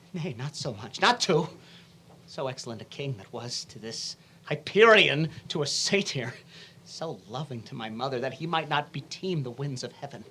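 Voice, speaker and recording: A Canadian amateur plays a brooding young prince in a casual read-through. The voice is bright and full of swings in pitch. The delivery is unpolished, with stumbles over the lines. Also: a little hiss or hum. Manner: reads verse haltingly, breaking into laughs. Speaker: amateur reader. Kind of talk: casual table read of a classic play.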